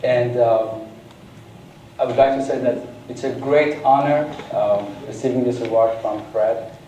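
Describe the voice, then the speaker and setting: A man giving a hesitant acceptance speech with many pauses and a flat, monotone delivery. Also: medium pitch. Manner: hesitant, many ums, self-described monotone. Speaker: award recipient. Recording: echoey hall, distant mic, background noise.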